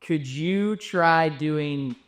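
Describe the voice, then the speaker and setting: An American man with a fairly high voice for a male. He puts questions in a loose, casual way, as a podcast interviewer does. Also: very slow, a bit bright, clean tone. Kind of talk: casual chat, asking questions.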